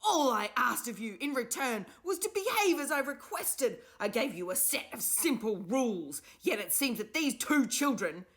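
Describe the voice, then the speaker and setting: A woman voicing a stern male academy professor who bursts into shouting rage. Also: low-pitched, animated, measured pace, few pauses. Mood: furious shouting. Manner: clipped, then shouting in rage.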